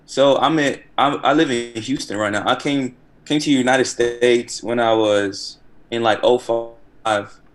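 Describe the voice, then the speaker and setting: A man from Nigeria calls into an online chat, speaking in a friendly, relaxed way. He often repeats words as he gets his thoughts out. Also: mid-range voice, measured pace. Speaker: caller. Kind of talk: casual chat.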